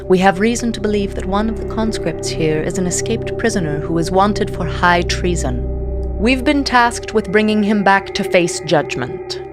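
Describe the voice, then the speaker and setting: A woman's voice: medium pitch, animated, moderate pace, close to an everyday voice. A woman playing a tense, conflicted soldier who narrates her own story in a scripted, dramatic audio drama style. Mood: urgent. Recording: long reverb, music under the voice. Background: dramatic music.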